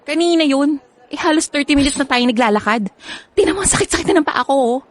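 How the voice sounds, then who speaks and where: An actress playing a young Filipino girlfriend in a radio drama, with an expressive, conversational delivery. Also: high voice, fast. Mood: annoyed. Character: affectionate young girlfriend Patricia, teasing, later complaining. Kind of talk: Tagalog radio drama acting. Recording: music bed behind the voice.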